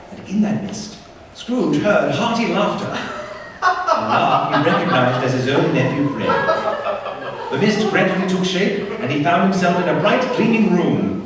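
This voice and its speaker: A man narrating a ghost tale as scripted radio play, measured and theatrical. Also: a little high, recorded from a distance. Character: measured male storyteller of a Victorian ghost tale. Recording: very echoey hall from afar, faint noise.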